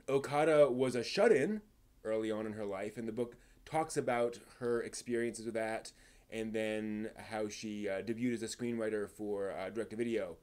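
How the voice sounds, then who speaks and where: An American man hosting a live stream, reading out news in a casual, halting, off-the-cuff way. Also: mid-range voice, a little mellow.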